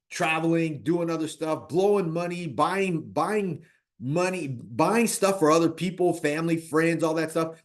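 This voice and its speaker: Animated fifty-year-old man talking money. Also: fairly high pitch. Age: 50s. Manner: animated, repeats himself, lots of "right?". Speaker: real estate investor. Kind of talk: casual talk on personal finance.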